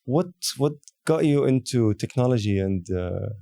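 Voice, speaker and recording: A man's voice: medium pitch, animated, moderate pace, gravelly, dark tone, dry recording, studio quality. A man hosting an interview, asking short curious questions and prompting his guest. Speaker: podcast host.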